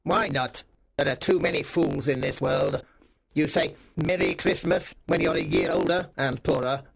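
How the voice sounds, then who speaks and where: A mean old skinflint from a storybook, acted out by a male reader. The voice is hard and gravelly, pitched up from a normal man's talk. He snaps curtly and with open scorn. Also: expressive, moderate pace. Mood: irritated, contemptuous. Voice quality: hard, creaky voice. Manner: curt, scornful snapping. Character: mean, bad-tempered old male miser.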